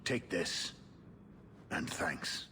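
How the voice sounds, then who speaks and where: An actor playing a weary, remorseful man in a video game, speaking in a measured, apologetic way. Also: background noise. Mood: weary, earnest. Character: remorseful former werewolf, weary human man. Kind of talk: dramatic video game acting.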